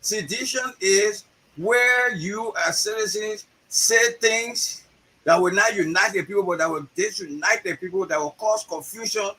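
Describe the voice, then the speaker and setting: Animated older Liberian man telling stories. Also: sits high, breathy edge, poor audio. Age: 60s. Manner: animated, repeats words, acts out quoted voices. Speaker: guest, playwright. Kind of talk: storytelling interview talk.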